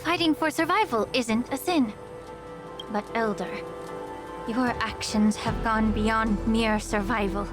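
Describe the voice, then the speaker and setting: An actress playing a poised, courteous woman official in a video game, formal and deliberate, with quiet firmness. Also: on the high side. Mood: firm, accusing. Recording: game music behind the voice.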